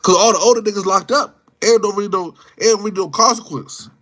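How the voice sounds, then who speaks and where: Male livestreamer, mid-twenties, high-pitched voice, off-the-cuff slangy talk that loops back on itself. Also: fairly quick, fairly bright tone. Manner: slangy, rambling, repeats phrases and words. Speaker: livestream host chatting with viewers. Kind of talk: casual live chat, off-the-cuff opinion.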